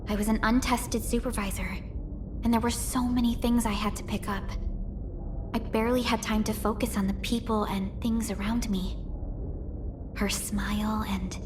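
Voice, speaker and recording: A woman playing a composed, earnest young company supervisor in a video game, quietly caring, with a measured, polite and softly reserved manner. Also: medium pitch, noisy background, natural voice. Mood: reflective, fond. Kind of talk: scripted video game character voice lines.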